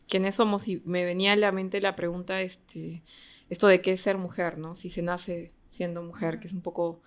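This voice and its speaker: A Peruvian woman in a casual podcast discussion, telling personal anecdotes and voicing remembered bits of dialogue. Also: medium pitch. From Peru. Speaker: podcast guest.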